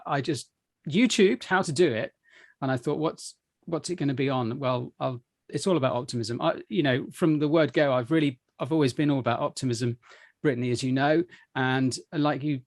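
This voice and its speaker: A man's voice: medium pitch, dry recording. Upbeat chatty man, dry close sound. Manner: upbeat, rambling with many fillers and restarts. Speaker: podcast guest. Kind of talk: conversational interview answers.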